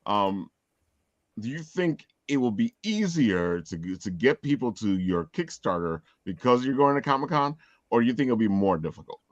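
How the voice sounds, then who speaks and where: A man's voice: mid-range voice, very animated, moderate pace, dry recording, muffled recording. A man hosting a casual interview, agreeable and a little hesitant in how he phrases things. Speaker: host.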